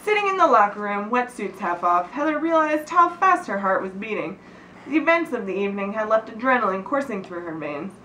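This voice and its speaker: A woman's voice: medium pitch, sing-song, a little fast, sharp tone. A woman reading a story aloud informally to friends, often breaking into giggles. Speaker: reader of a birthday story. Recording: roomy, distant mic with faint noise.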